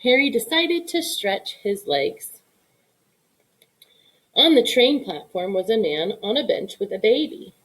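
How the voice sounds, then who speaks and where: A woman giving an expressive read-aloud narration of a children's story. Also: a little high, a little slow, pauses often, rough recording. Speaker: storybook reader.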